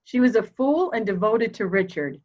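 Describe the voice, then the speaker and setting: A woman playing a proud, formal, candid elderly mother in a murder mystery reading, speaking deliberately. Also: fairly high pitch, lively intonation, a little mellow, lo-fi sound. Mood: composed, candid. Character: proud, cold old mother, candid and slightly deaf.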